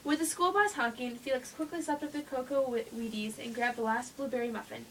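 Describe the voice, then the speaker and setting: A woman's voice: sits high, fairly quick, a bit bright. A young woman informally reading a children's story aloud, playing a mock-strict teacher to her listeners. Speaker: reader playing a schoolteacher. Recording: distant mic with faint noise.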